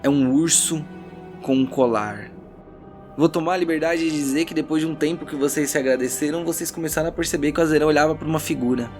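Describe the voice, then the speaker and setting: A man's voice: a little high, fast. A Brazilian man running a tabletop role-playing game as narrator, mixing theatrical, suspenseful storytelling with casual banter. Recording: loud background music under the voice.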